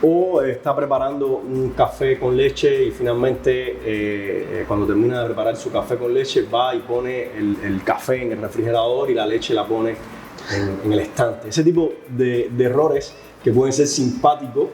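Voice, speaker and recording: A man with a Cuban Spanish accent, a professor guest on a podcast, answering in a measured, explanatory way with frequent hesitations. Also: mid-range voice, steady tone, darkish tone, roomy sound, a little hiss or hum. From Cuba.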